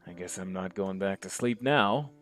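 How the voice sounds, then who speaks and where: A man's voice: low voice, normal speed, faint music behind, pitched below the performer's own voice. Man playing a dazed, wry young medic. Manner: wry inner monologue, drifts into hushed whispers. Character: dazed, sardonic young male medic waking up. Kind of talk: one-man voice acting.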